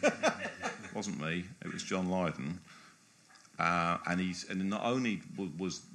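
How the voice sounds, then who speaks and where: A man's voice: sits low, a bit bright. A man speaking as a guest biographer in an interview, giving long discursive answers that often stop and restart mid-phrase.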